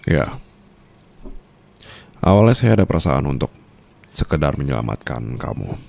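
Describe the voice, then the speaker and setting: An actor with an Indonesian accent playing a calm, teasing and tender mafia boss in an intimate ASMR roleplay, speaking softly and slowly with pauses. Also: male voice, exaggerated voice. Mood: confiding, somber. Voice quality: very deep, creaky voice.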